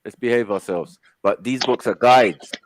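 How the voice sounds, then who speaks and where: A man's voice: mid-range voice, normal speed, background noise. A man hosting a heated casual panel discussion, who talks over others and keeps saying "hold on" to keep the floor. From Africa. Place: online call.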